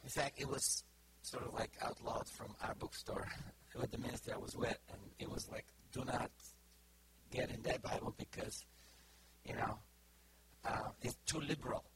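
A middle-aged man from Bulgaria with a Bulgarian accent, giving an informal sermon to a congregation, pausing often with fillers and restarts. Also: mid-range voice, fairly even intonation, a bit bright, slight background noise, rough recording. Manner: halting, many fillers and restarts. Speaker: preacher.

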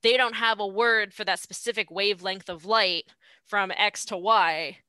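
A woman's voice: medium pitch, steady tone, moderate pace, pushed and bright, smooth, no room sound. A woman speaking as a podcast guest, explaining ideas in a thoughtful, casual way with frequent "um"s. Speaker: podcast guest discussing translation. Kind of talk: casual conversation.

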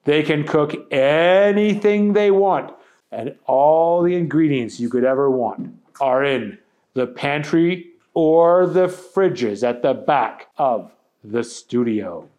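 A man voicing a loud, grinning male game show host with a booming showman delivery. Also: expressive, takes it slowly. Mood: showy.

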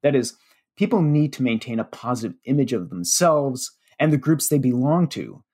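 A man with a slightly husky voice and a Pennsylvania Dutch accent chats about research in a relaxed, informal way. His pitch moves around a lot as he talks. He fills pauses with little "uh"s and pokes fun at himself. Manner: chatty, with fillers and self-deprecating jokes. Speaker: media relations director. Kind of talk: casual podcast chat.

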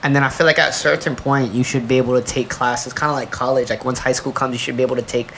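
A man's voice: mid-range voice, flat delivery, quick pace, a bit bright. A man co-hosting a casual podcast, rambling emphatically and swearing freely as he argues his opinions with friends. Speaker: podcast co-host. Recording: clearly noisy background.

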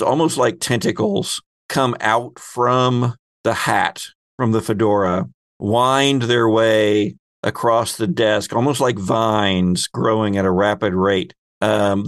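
A man narrating a tabletop roleplaying game as game master, describing scenes in a deliberate storyteller's way. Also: on the low side, dry recording.

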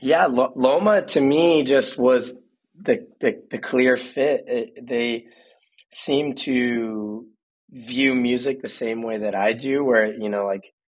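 A man's voice: medium pitch, slow, low-quality recording. A man, a musician guest, talking casually in an interview, often repeating words as he gathers his thoughts.

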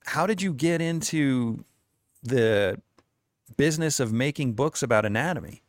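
A man in his forties hosting a podcast, mid-pitched and eager. He gushes with enthusiasm and laughs often. His voice sounds close and dry, with no room around it. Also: animated, dry recording. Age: 40s. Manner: effusive, enthusiastic, quick to laugh. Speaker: podcast host. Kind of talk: podcast interview.

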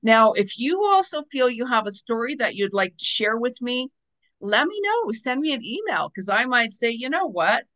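A woman's voice: on the high side, very animated, normal speed, fairly bright tone. A woman hosting an interview podcast, introducing her show and guest in an enthusiastic, promotional way. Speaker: podcast host.

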